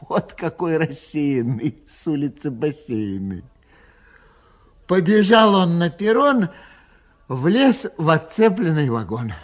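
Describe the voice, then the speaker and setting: A man reads a comic children's poem in a bouncy, sing-song rhythm. His voice sits fairly high and swoops widely, and he takes the lines slowly. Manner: lively, sing-song delivery with a recurring refrain. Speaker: narrator. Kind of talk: children's verse reading.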